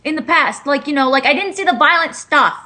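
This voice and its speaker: A woman's voice: high voice, expressive, a little fast, pushed and bright. A woman chatting casually as a co-host in a group commentary. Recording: faint noise with clicks.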